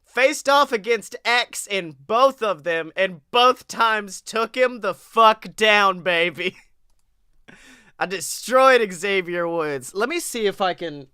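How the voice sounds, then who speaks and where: A young man hosting a casual wrestling podcast in an excitable, playful, hyped-up way. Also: sits high, takes it slowly, clean tone, dry recording, studio quality. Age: young adult. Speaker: podcast host. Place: small room at home, on a video call.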